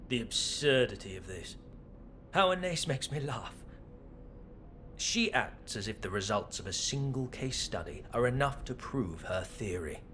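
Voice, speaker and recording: Haughty, scornful scholar, swooping delivery. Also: male voice, medium pitch, expressive, measured pace, a bit bright, soft background music. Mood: scornful, mocking. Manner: disdainful, theatrical, formal. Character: haughty, scornful adult male rival scholar. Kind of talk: scripted video game voice acting.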